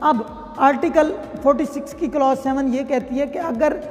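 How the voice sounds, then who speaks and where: Male lecturer, Pakistani accent, exceptionally high voice, conversational explanatory delivery with English legal terms, heavy hall reverb. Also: normal speed, soft background music. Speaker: law lecturer. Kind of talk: explanatory lecture with worked examples.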